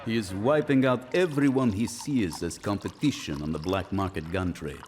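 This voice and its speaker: An actor playing a boastful, smooth-talking reformed gangster in a game, with a husky voice and a teasing, theatrical manner. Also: male voice, lowish voice, moderate pace, crowd noise, close to an everyday voice. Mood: suave.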